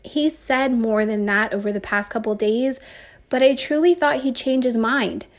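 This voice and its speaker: A woman reading a first-person story aloud with expressive, emphatic phrasing, like a teacher. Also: a little high, moderate pace.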